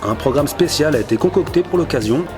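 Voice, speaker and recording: A man's voice: fairly high pitch, expressive, fast talker. A man reading a scripted voice-over for a local news report. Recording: music bed under the voice. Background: clear background music.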